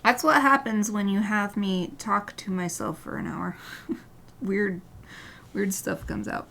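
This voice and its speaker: A woman artist chatting casually during a livestream as she paints, rambling and often dropping to a soft murmur, with light laughter. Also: medium pitch, fairly even intonation, slow, gravelly, slight background noise. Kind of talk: casual chat while painting.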